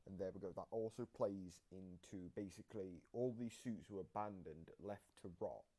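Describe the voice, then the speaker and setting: A man hosting a reaction video, casually explaining game lore in a halting way that often trails off. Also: low voice, dark tone, a little hiss or hum.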